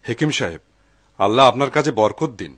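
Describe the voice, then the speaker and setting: An earnest, kindly uncle in a cartoon folk tale. His medium-pitched voice is measured, in a close, dry recording. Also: animated, fairly bright tone, dry recording. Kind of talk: cartoon dub acting.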